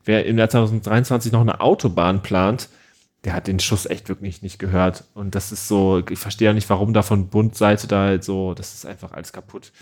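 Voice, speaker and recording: A man's voice: fairly low pitch, fairly even intonation, a little fast, darkish tone. A young man co-hosting a podcast, chatting casually and giving his opinions freely, with fillers. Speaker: podcast co-host.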